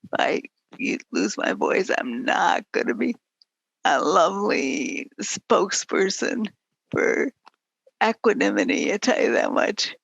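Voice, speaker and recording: A woman speaking frankly in a group conversation in a weakening, often whispered voice, halting and breathy with long pauses. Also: medium pitch, big pitch swings, dry recording, dull audio. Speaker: host of a conversation series.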